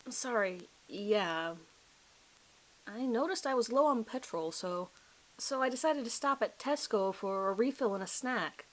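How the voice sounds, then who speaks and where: A woman's voice: a little high, lively intonation, a little slow, poor audio. A nervous suspect in his thirties a man as acted out by a woman gives his account in a dramatized police interview. He is hesitant and apologetic, rambling as he tries to recall details. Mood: hesitant, uneasy.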